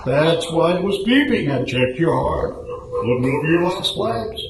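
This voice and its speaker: A man playing an unhurried, distracted doctor in a radio play, with a halting, offhand manner. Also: on the high side, lively intonation. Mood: calm, offhand. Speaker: amateur radio drama actor. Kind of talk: live radio play reading. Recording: echoey room, clear background noise.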